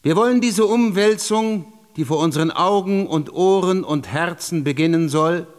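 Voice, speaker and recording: An actor playing a solemn state secretary giving a grand, rhetorical political speech in a radio drama. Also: male voice, high-pitched, normal speed, lightly acted. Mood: grandiose. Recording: long hall reverb.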